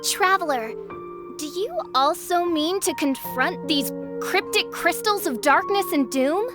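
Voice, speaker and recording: A woman's voice: very high voice, expressive, unhurried. A woman voicing a grandiose young self-styled princess in a video game, speaking in archaic, dramatic phrases. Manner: archaic, pompous, dramatic speech. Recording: game audio with faint music.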